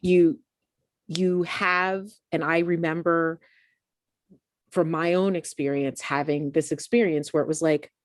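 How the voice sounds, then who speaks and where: A woman's voice: low-pitched, steady tone, unhurried, no room sound. A woman in her late forties hosting a podcast interview, chatting casually and backing up her guest with frequent agreement. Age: late 40s. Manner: warm backchanneling, laughs often. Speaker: podcast host.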